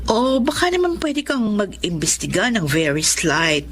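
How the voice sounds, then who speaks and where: An actress playing a nosy, suspicious close friend, insistent and gossipy, in a radio drama. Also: female voice, medium pitch, sing-song, a little slow, a bit bright, a little hiss or hum. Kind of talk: Tagalog radio drama acting.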